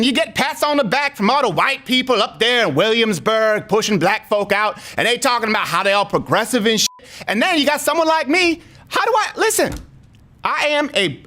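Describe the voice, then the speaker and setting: A very high, sharp-edged voice an aggrieved gender non-conforming single mom on a prank complaint call. The speech is streetwise and emphatic, rambling along and circling back on its demands. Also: male voice, full character voice. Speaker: prank caller. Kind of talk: improvised prank phone call.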